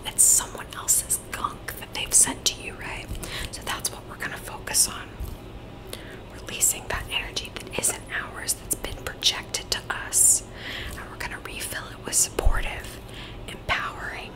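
A woman whispering softly and reassuringly as a Reiki practitioner, in an ASMR session. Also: normal speed, poor audio. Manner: soft whispering, gentle and reassuring. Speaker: Reiki practitioner and psychic medium. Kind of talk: whispered ASMR energy-healing talk. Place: Virginia, USA.

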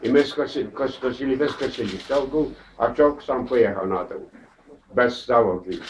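An elderly man recalling his wartime memories, halting, with many restarts and repeated words, in a crowded living room. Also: medium pitch, slight background noise. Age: older. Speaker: war veteran interviewee. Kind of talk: spoken war memories. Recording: distant, dull camera audio.

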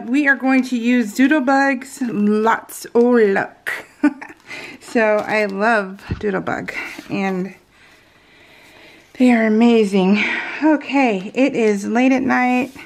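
An American woman chatting casually as she narrates a craft project, rambling and giggly, with slightly unusual soft R sounds. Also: a little high, slow pace, pauses often. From California, US. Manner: giggly, rambling, self-interrupting. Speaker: craft vlogger. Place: indoors at home, at a craft table.

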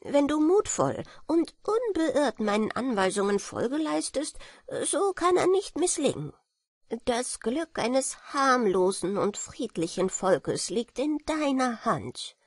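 A woman's voice: high voice, big pitch swings, slow pace, husky, no echo, clean studio sound, voice pushed up, exaggerated voice. A woman voicing a small, solemn dwarf king from a fairy tale, pleading in earnest, formal speech. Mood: solemn, urging.

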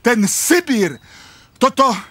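A man's voice: very high-pitched, big pitch swings, takes it slowly, pushed and bright, slightly rough, dry recording, exaggerated voice. A man playing an indignant, frantic aristocratic father in a radio drama, with a blustering, exclamatory theatrical delivery.

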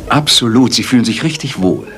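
Ein Sprecher, der in einem Hörspiel einen ruhigen, trocken spottenden Detektiv spielt. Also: male voice, mid-range voice, brisk, faint music behind, close to an everyday voice. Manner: calm, dry and teasing. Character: cool, wry male detective, middle-aged. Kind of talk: German radio drama.

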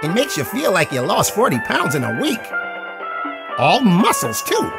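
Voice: medium pitch, huge pitch swings, normal speed, vocal fry. An actor voicing a casual, chatty little bear friend in a cartoon video game. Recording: loud music behind dialogue.

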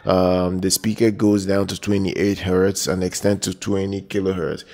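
Very deep, monotone, gravelly male presenter. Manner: halting and repetitive, with drawn-out hesitations. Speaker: product news video host. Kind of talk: semi-improvised product news voice-over.

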